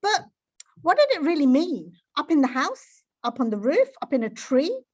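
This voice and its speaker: High-pitched woman reading a children's story. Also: sing-song, moderate pace, a little mellow, highs cut off.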